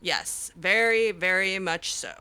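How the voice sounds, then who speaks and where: A woman's voice: on the low side, takes it slowly, sharp tone, clear, resonant tone. A woman playing an eager, hesitant young mage. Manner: hesitant and chatty, many ums and restarts. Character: curious young woman mage escaped from a tower. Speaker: roleplaying player character. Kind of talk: casual in-character roleplay.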